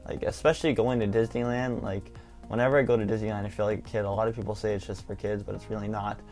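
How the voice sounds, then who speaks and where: A man's voice: on the low side, little pitch movement, fast talker, darkish tone, soft background music. A nineteen-year-old man, hosting a casual theme park vlog, rambling enthusiastically with lots of "like". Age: young adult. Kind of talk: casual excited vlog talk.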